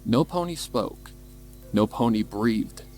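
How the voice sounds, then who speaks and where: A man's voice: mid-range voice, lively intonation, slow pace, darkish tone. A man reading scripted, dramatic story narration as the narrator. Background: music bed.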